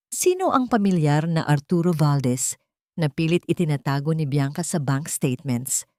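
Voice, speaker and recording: Female audiobook narrator, Filipino accent, slightly low voice with very animated pitch, close dry recording. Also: no room sound. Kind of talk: scripted dramatic story narration.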